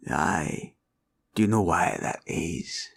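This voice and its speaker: Slow, gravelly dragon villain. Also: male voice, low voice, full character voice. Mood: smug, gloating. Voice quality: gravelly, husky, breathy growl. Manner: slow, drawling, taunting, archaic turns of phrase. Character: sly, menacing, greedy male green dragon villain. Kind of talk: fantasy roleplay ASMR.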